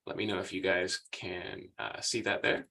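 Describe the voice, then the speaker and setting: A man's voice: sits low, level tone, moderate pace, vocal fry, bright, forceful tone. A young man with an American accent giving a chatty, upbeat webinar presentation as the guest speaker. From United States. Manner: upbeat, chatty, laughs often.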